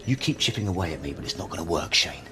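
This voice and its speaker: An actor playing a wry, firm police inspector with a short temper and an authoritative manner in a radio drama. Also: male voice, sits low, sing-song, quick pace. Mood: sardonic, irritated. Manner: authoritative, sardonic. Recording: radio drama with clear background noise.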